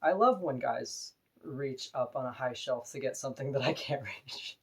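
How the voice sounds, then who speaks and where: Deep-voiced woman, earnest vlog talk. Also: expressive, moderate pace, slightly rough. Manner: earnest, opinionated and plain-spoken. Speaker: vlogger. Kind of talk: personal talking-to-camera vlog.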